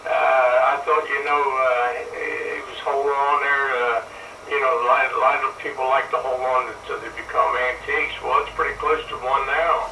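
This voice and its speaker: A man chatting casually over CB radio in a rambling, halting way. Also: mid-range voice, little pitch movement, pushed and bright. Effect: CB radio transmission. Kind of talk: casual two-way radio chat. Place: voice heard over CB radio with clear static noise. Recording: distant, echoey, noisy CB radio transmission.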